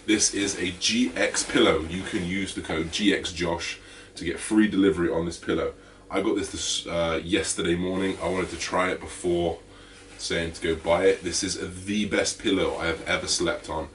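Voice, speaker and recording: A man with a deep, slightly raspy voice, talking casually to camera in a fitness vlog with lots of fillers. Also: moderate pace, recorded from a distance, a little hiss or hum. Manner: energetic, chatty, full of fillers. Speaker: fitness vlogger.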